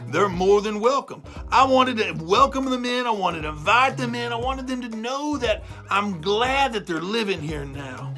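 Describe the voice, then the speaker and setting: Someone voicing an exasperated big bad wolf, bright and emphatic. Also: medium pitch, moderate pace, few pauses, soft background music. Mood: aggrieved, explaining. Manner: exasperated, expressive, emphatic repetition. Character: aggrieved, self-justifying big bad wolf, telling his side. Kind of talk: animated first-person storytelling for children.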